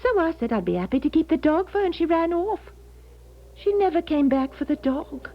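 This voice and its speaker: A woman's voice: very high voice, expressive, fairly quick. An actress playing a kindly working-class London charwoman with a London accent, folksy and rambling, in a 1970s radio drama. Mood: chatty. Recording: dull 1970s radio broadcast.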